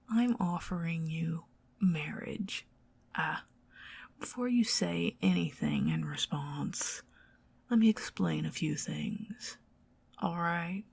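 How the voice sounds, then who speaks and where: Southern accent, obsessive goblin queen voiced by a woman, low husky whisper, slow and teasing. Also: full character voice. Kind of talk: ASMR roleplay.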